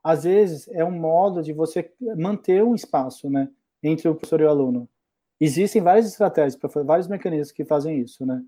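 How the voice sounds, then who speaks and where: Halting reflective Brazilian man. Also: fairly high pitch, warm tone. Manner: reflective, halting with many restarts. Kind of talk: casual conversation.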